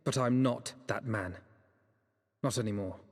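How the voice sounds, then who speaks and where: An earnest young nobleman from a fantasy video game. His voice is slightly husky and sits at a middling pitch. He speaks formally and with solemn resolve, ringing with long reverb. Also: a little mellow. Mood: solemn, resolved. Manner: formal, solemn, measured phrasing. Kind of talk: dramatic video game cutscene acting.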